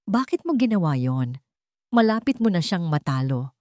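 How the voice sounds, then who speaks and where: A woman's voice: fairly low pitch, very animated, moderate pace, a little mellow, dry recording, clean studio sound. A woman voicing an alarmed, questioning woman in a suspense audio story, urgent and exclaiming. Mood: shocked.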